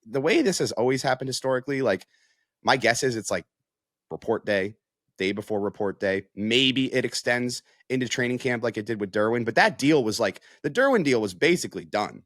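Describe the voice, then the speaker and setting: A man's voice: medium pitch, expressive, a little fast, a bit bright, no echo, clean studio sound. An American man giving casual, conversational sports analysis as a reporter, with frequent filler phrases like "you know".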